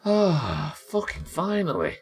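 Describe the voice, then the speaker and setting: A British man with a naturally croaky voice, chatting casually and swearing while streaming a game. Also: a little high, wildly swooping pitch, slow, faint music behind. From United Kingdom. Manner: chatty, swears, reads chat aloud. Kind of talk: casual gameplay chat.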